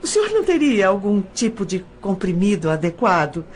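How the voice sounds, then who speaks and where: An actress playing a troubled young woman in an audio drama, speaking politely and haltingly as she persuades. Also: mid-range voice, sing-song, darkish tone, a little hiss or hum, close to an everyday voice. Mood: troubled, pleading. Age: young adult.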